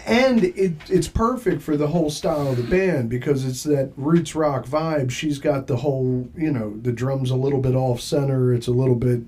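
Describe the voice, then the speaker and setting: A man's voice: mid-range voice, normal speed, hardly any pauses, darkish tone. A man hosting a casual interview, effusive and rambling, laughing often and restarting his sentences. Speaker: podcast host.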